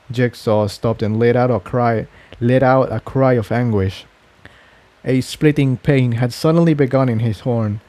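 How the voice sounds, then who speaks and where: A man's voice: mid-range voice, warm tone. A man narrating a scripted adventure story as an audiobook-style reading. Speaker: fan fiction narrator.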